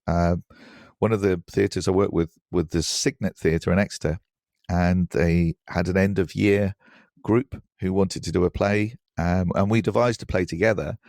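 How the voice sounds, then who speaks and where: Man, deep voice, dry close sound, thoughtful, hesitant, reflective podcast guest. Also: darkish tone, no room sound. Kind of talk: reflective interview conversation, personal anecdotes.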